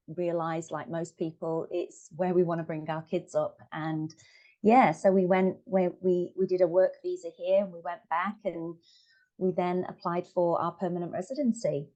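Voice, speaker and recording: A woman, low in pitch, talks as a podcast guest. She chats casually, saying yeah a lot and restarting her sentences. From Manchester, England. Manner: chatty, many yeahs and self-corrections. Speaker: guest, family wellbeing coach. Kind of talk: casual interview chat.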